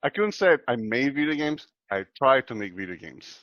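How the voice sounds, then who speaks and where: A man from Chile in his thirties, talking in a casual interview as the guest, soft-spoken and hesitant with many fillers. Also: mid-range voice, very animated, normal speed, no room sound, lo-fi sound. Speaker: guest computer scientist.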